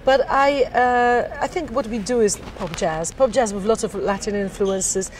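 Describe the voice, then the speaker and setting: A woman's voice: medium pitch, unhurried, low-quality recording. Woman, Polish accent, middle-aged, chatty interview talk, laughs often, faint background hiss. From Poland. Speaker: singer interviewed. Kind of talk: casual interview chat. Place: backstage at a theater.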